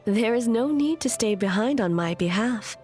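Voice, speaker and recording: Woman acting as immortal female shogun, aloof, solemn, formal diction, measured delivery, video game dialogue, background music. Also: on the high side, lively intonation, a little mellow, lightly acted. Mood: solemn, resolute.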